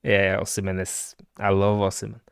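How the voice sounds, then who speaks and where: A man's voice: sits low, completely flat delivery, normal speed, vocal fry, clean tone, dry recording, clean studio sound. Norwegian man chatting about football. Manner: rambling with many fillers, answers live chat. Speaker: livestream host and football fan. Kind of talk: casual live chat about football.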